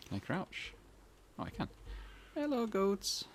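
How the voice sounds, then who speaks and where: Very animated man doing game commentary. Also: high voice, measured pace, gravelly, a little hiss or hum. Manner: chatty, thinking aloud. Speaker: gaming video host. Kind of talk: casual let's play commentary.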